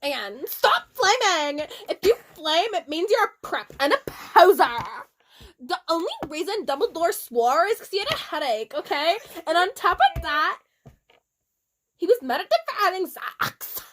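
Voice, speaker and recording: A woman's voice: very high voice, big pitch swings, unhurried, fairly bright tone, pitched above the performer's own voice, full character voice. A woman voicing a petulant, defensive teenage author, whiny and emphatic, scolding critics in a comic dramatic reading.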